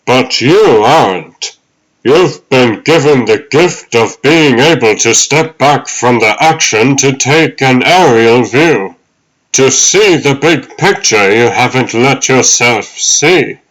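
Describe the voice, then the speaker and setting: Man acting a measured, wise old butler. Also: medium pitch, lively intonation, a bit bright, poor audio. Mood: calm counsel. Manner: formal, measured, kindly. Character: wise, gentle ghostly old butler, fatherly. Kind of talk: comic dub acting.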